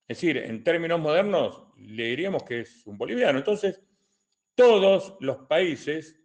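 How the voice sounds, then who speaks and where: Older Argentine man giving didactic commentary. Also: mid-range voice. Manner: didactic, deliberate, emphatic with repetition. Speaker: retired colonel and political commentator. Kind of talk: spoken political commentary answering listener questions.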